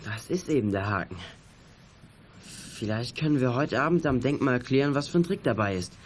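A man's voice: medium pitch, expressive, brisk, slight background noise, lightly acted. An actor playing a keen, confident boy detective who leads his friends in a children's radio play. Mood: thoughtful, confident. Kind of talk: 1980s German children's radio play acting.

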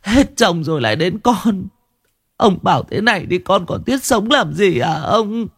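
A man's voice: high-pitched, expressive, a little slow, vocal fry, fairly bright tone, slightly rough, no room sound, studio quality, voice pushed up, heavily acted. A man voicing a grieving widowed mother who speaks faintly through tears. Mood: grief-stricken. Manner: faint and whispery. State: tearful.

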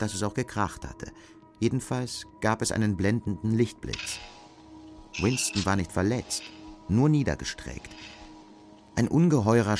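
A man's voice: on the low side, a little mellow. A man narrating an audio drama in scripted literary prose.

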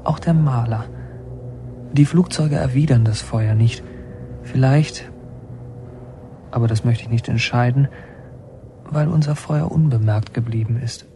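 An actor plays a reflective son narrating a radio drama. His medium-pitched male voice is mellow with a lot of gravelly fry, and he tells it in measured, literary phrases over faint ambience. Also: a little hiss or hum, close to an everyday voice. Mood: observant. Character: reflective son narrating his policeman father's war story.

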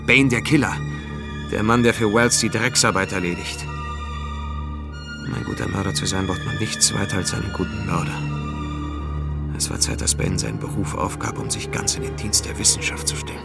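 A world-weary noir hero narrating a science fiction radio play. His voice sits low, and he speaks in terse, deliberate, brooding phrases. Loud music plays beneath him. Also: steady tone, vocal fry. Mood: grim, resigned. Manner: terse, deliberate, hard-boiled. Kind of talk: scripted radio drama narration.

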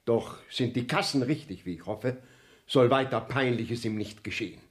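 A man's voice: mid-range voice, moderate pace, lightly acted. An actor playing a formal, commanding male court councillor in a radio play, with precise, declamatory delivery. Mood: brisk, official.

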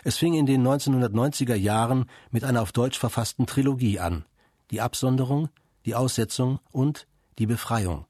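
A man narrating a radio feature in a measured, composed, scripted way. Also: medium pitch, mellow, dry recording, clean studio sound.

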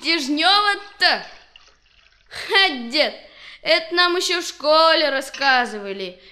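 A woman's voice: high voice, lively intonation, slow pace, sharp tone, heavily acted. A woman playing a young, cheeky, eager grandchild in an audio drama, speaking casually.

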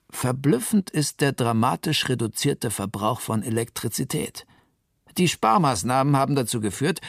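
A man's voice: mid-range voice, gravelly, dry recording, clean studio sound, full character voice. A man voicing a thoughtful, optimistic eyewitness in a scripted documentary radio play. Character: thoughtful male eyewitness in Japan, self-declared optimist.

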